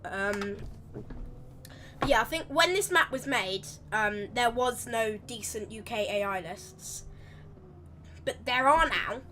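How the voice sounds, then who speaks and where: A woman with a slightly croaky voice, rambling chattily through live gameplay commentary and correcting herself as she goes. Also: a little high, animated, slow, long pauses, bright, forceful tone, slight background noise. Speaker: game streamer.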